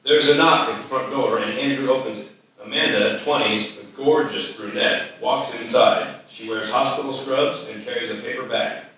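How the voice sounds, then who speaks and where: A man's voice: mid-range voice, normal speed, pushed and bright. A man reading stage directions as scripted narration at a live screenplay table read. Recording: very echoey hall, distant mic.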